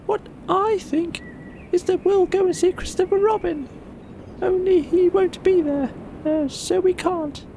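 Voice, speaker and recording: A man's voice: very high voice, steady tone, a little slow, dark tone, slight background noise, pitched above the performer's own voice, low-quality recording. A man voicing a small, timid, childlike piglet in an earnest way for a children's storybook. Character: small timid high-voiced male piglet. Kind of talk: children's audiobook character voice.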